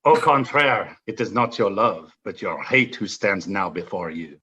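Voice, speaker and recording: A villainous French nobleman for an audio play. The voice is sharp-edged and raspy, grandly theatrical and full of mocking relish, with drawn-out, florid phrases. The recording sounds a little dull. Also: fairly low pitch, lively intonation, full character voice. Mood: gloating, triumphant.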